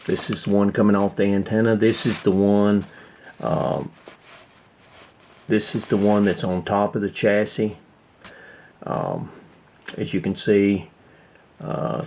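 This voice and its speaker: A man giving a casual hands-on workbench walkthrough, halting and thinking aloud as he explains. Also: lowish voice, darkish tone, slight background noise. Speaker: hobbyist radio restorer presenting his repair.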